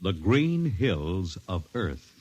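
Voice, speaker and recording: An actor as a portentous American announcer, delivering scripted narration for a 1950s science-fiction radio show. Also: male voice, low-pitched, big pitch swings, very slow, full character voice. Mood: grand, portentous. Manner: dramatic, portentous. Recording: vintage radio broadcast with loud music.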